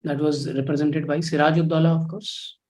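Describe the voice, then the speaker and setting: A man with an Indian English accent teaches history in a live online class, speaking in a soft, low-volume voice. His pitch sits a little high for a man, and he talks in a measured, instructive way. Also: little pitch movement. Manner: measured, instructive, reads out student comments.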